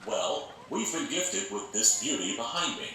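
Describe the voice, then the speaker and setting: A man's voice: medium pitch, lively intonation, unhurried, sharp tone. A man playing a genial, showy organization leader giving an upbeat, folksy speech to members in an audio drama. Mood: upbeat, rallying. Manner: upbeat, folksy public speaker, salesy. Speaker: community engagement officer giving announcements. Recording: very echoey public-address sound with clear noise.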